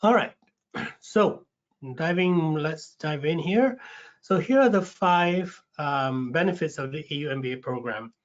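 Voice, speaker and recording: Man presenting a webinar, a bit raspy from a cold, higher-pitched voice, muffled video-call audio. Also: measured pace. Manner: friendly, with frequent ums and restarts. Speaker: webinar host, recruitment manager. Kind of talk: presenting an online info session.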